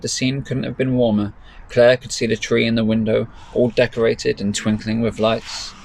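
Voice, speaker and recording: A man reading his own story as dramatic narration, with measured, deliberate pauses. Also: mid-range voice, vocal fry, low-quality recording. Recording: faint background noise.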